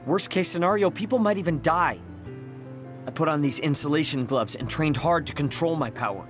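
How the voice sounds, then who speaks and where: An actor playing a proud, insecure young soldier in an anime video game, curt and defensive. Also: male voice, a little high, a little fast, natural voice. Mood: brooding, confessing. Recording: loud music under voice. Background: game soundtrack music.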